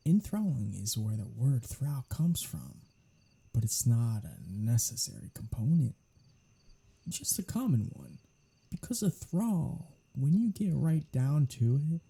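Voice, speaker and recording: Snarky vampire for ASMR roleplay performer acting, very breathy and mellow, unhurried but lively in pitch, casually menacing and teasing. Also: exaggerated voice. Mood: wry explaining. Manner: sardonic, casual, swearing, teasing. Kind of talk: soft-spoken ASMR audio roleplay.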